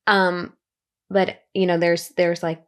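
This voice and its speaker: A woman's voice: lowish voice, level tone, takes it slowly, clean tone. A woman hosting a podcast, chatting casually and backing up her guest with short affirmations. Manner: supportive listener, frequent short affirmations. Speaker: podcast host. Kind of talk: casual conversation.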